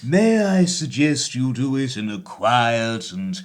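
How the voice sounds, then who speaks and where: An actor voicing a comic impression of a meek yet pompous clerk, drawn-out, unctuous and over-humble, in a period audio play. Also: male voice, medium pitch, big pitch swings. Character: mimicked meek, pompous clerk, obsequiously humble, self-important.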